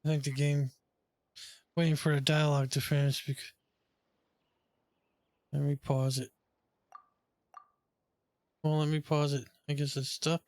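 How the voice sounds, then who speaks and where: A man's voice: a little high, little pitch movement, measured pace, long pauses, a little mellow, dry recording. A man giving casual, offhand commentary as he plays through a video game. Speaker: gamer commentator.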